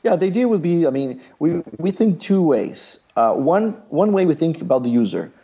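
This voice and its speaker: Brazilian man explaining in halting English. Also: on the high side, sing-song, dark tone, husky, lo-fi sound. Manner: thoughtful, many pauses and fillers. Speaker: startup founder. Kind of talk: business interview.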